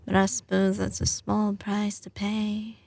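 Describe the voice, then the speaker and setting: A woman's voice: medium pitch, monotone, measured pace, gravelly, a little mellow, no echo. A woman with a slight Southern US drawl playing a doting but ruthless mafia boss girlfriend in an intimate ASMR roleplay. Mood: teasing, affectionate. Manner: drawling, teasing, sliding between commanding and tender. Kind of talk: ASMR audio roleplay, intimate scripted acting.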